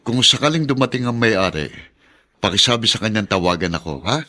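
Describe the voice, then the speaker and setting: An actor playing an earnest, honest man stubbornly set on doing right, in a deliberate radio drama style. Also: fairly low pitch, animated, pushed and bright. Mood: polite, searching.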